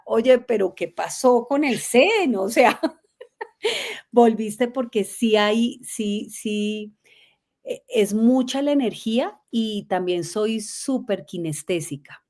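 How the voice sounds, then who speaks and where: Slow-talking Colombian woman, middle-aged. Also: a little high. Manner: energetic, laughing, storytelling. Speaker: guest. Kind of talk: conversational interview.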